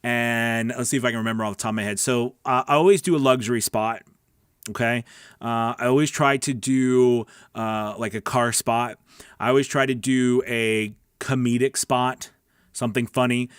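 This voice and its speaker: A man's voice: medium pitch, fairly even intonation, normal speed, smooth, dry recording, clean studio sound. A man hosting a live question-and-answer stream as a coach, talking casually and emphatically, repeating words and checking in with his listeners. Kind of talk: casual instructional livestream chat, answering viewer questions.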